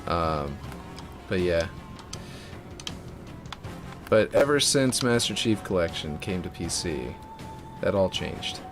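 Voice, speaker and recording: A man with a fairly deep voice chatting casually on a live stream while building a game map, rambling with many fillers and restarts. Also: animated, slow, long pauses. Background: music.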